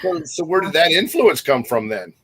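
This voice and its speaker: A man's voice: on the high side, very animated, moderate pace, a bit bright, slightly rough, no echo. A man hosting a casual interview in an easygoing, conversational manner. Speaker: podcast host.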